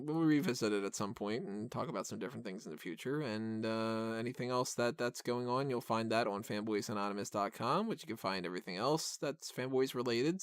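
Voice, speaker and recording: A man's voice: mid-range voice, smooth, no echo. A man hosting a casual film review podcast, talking in a rambling, self-deprecating way into a close microphone. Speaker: podcast host.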